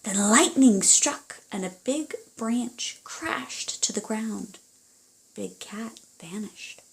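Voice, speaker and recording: Slow-paced woman reading a children's story. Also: mid-range voice, expressive, gravelly, rough recording. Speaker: storybook reader. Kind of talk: expressive children's story reading, with advice to parents.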